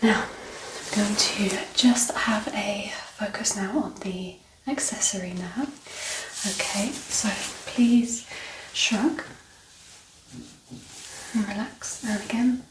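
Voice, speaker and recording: A woman speaking softly and gently, often near a whisper, as a doctor in an ASMR medical exam roleplay. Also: medium pitch, slow, pauses often. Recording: roomy echo, distant mic, clear background noise.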